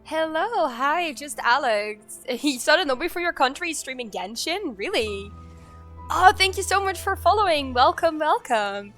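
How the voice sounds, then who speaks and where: A woman's voice: sits high, expressive, unhurried, a bit bright, soft background music. A woman streaming a game, chatting casually and laughing with her viewers. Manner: chatty, laughing, talks to chat. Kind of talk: casual live-stream chat.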